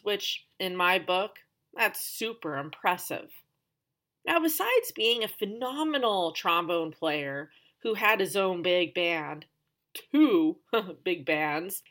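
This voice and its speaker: A woman's voice: medium pitch, a bit bright. Emphatic woman podcast storyteller. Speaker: podcast host. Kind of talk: conversational scripted storytelling narration.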